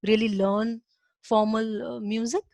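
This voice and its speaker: A woman hosts an interview, her voice a little high. She asks her questions haltingly, leaning on many fillers. The close mic gives a dry sound with no room around it. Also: flat delivery, creaky, dry recording. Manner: hesitant, many fillers, warmly encouraging. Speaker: podcast host. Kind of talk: interviewing a guest.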